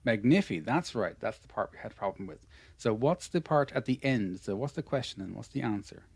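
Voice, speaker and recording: A man's voice: lowish voice, lively intonation, a little fast, gravelly, a little mellow, breathy edge, dry recording. A man with an Irish accent teaching English pronunciation in an online lesson. He talks patiently and encouragingly, repeating his praise often. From Ireland.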